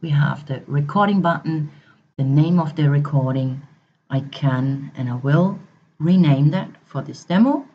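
A woman narrating a product demonstration step by step in a halting way, as a voice-over. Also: deep voice, husky. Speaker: software demo presenter. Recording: dull, slightly muffled voice-over.

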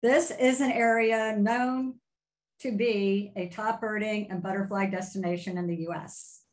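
An older woman hosting a video call, reading out a measured introduction of a guest speaker. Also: fairly high pitch, poor audio. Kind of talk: read-out introduction.

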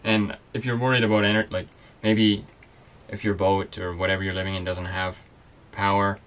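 Deep-voiced young man in a boat cabin. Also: little pitch movement, moderate pace, a bit bright. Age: young adult. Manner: easygoing, explanatory, many fillers. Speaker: sailboat-living vlogger. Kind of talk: casual chat. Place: small cozy sailboat cabin, indoors.

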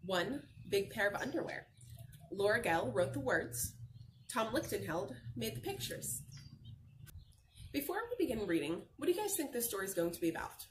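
A young adult woman reading a picture book to children in an expressive, encouraging, sing-song way, pausing to ask questions. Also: medium pitch. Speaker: teacher reading aloud to children. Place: outdoors on a garden patio. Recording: noisy outdoor recording with birdsong.

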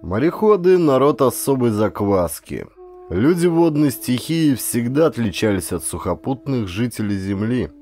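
A man narrating an adventure audiobook as a dramatic, expressive, theatrical storyteller. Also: mid-range voice, moderate pace, faint music behind.

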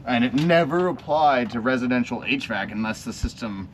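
A man's voice: mid-range voice, lively intonation, moderate pace, a little hiss or hum. A young man, an HVAC technician, explaining casually in a rambling way with many fillers and restarts. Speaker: HVAC technician and channel host. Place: backyard beside an AC unit, later a small office.

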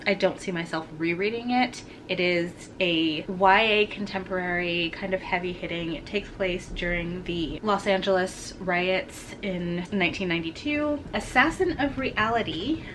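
A woman's voice: lowish voice, unhurried, hardly any pauses, noisy background. A young woman chatting frankly about books she is giving away, a little congested with a slightly sore throat, recorded in a home room. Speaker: book vlogger. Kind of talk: casual book unhaul chat.

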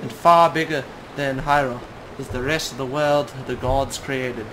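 A man reading as a gentle village storyteller with a halting drawl. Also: medium pitch, steady tone, noisy background, close to an everyday voice. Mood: gentle, wistful. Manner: slow halting read with a put-on drawl. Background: game music.

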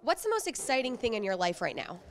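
A woman's voice: a little high, big pitch swings, fast. A young adult woman interviewer asking prepared questions. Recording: audible ambient building noise.

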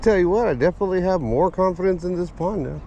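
A man giving casual running commentary while fishing at a park pond, talking to an action camera and getting excited over his catches. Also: high-pitched, quick pace, highs cut off. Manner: easygoing, talks to himself and the camera, excited by catches. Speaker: fishing vlogger. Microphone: chest- or head-mounted action camera. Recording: faint outdoor noise.

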